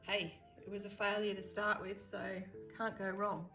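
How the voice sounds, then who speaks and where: A woman with a croaky voice hosting a casual painting tutorial, chatting and thinking aloud as she works. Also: medium pitch, little pitch movement, moderate pace, sharp tone, faint music behind, poor audio. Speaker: art tutorial host.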